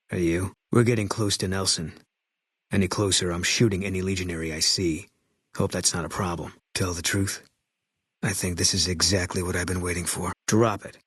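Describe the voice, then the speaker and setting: An actor playing a terse, bitter ex-army sniper in a video game, speaking in clipped, blunt lines. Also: male voice, deep voice, fairly bright tone, slightly rough, no room sound, clean studio sound. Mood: hard. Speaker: companion character in a video game. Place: recording studio. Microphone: close studio microphone.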